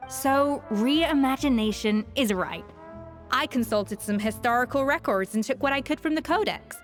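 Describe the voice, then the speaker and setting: An actress playing a proud, exacting chef in a video game, speaking precisely and with haughty self-assurance. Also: female voice, high voice, lively intonation, moderate pace, soft background music. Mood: lecturing.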